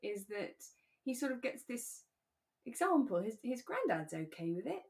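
A woman co-hosting a podcast, chatting in a relaxed, conversational way about a radio drama. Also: on the high side, moderate pace, creaky, a crowd in the background. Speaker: podcast co-host.

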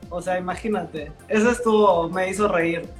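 A woman's voice: lowish voice, normal speed, reverberant, soft background music. A woman chef with a Mexican accent chatting as a guest, laid-back and full of slang. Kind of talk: casual chat.

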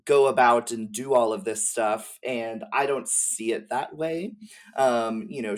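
Woman thinking aloud in casual chat. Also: extremely low pitch. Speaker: podcast guest. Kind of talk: casual conversational commentary on game lore.

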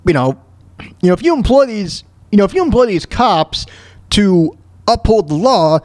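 A man hosting a solo radio show, giving informal, opinionated commentary on the news in a halting, repetitive way. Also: fairly high pitch, darkish tone, dry recording, clean studio sound. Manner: informal, halting, repeats words and phrases for emphasis.

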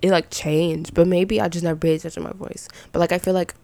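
A young woman with a slightly raspy voice and some vocal fry at sentence ends, chatting casually and giving her opinions as a podcast host. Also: lowish voice, level tone, quick pace, darkish tone, dry recording. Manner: informal, rambling, opinionated. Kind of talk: casual chatty solo commentary.

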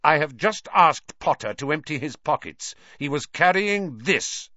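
A man's voice: medium pitch, very animated, extremely slow, bright, forceful tone, breathy edge, dry recording, lo-fi sound, voice pushed up, exaggerated voice. A man reading, voicing a furious, contemptuous male professor who speaks in clipped, raised bursts. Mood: angry. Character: furious sneering male professor, cold, older adult.

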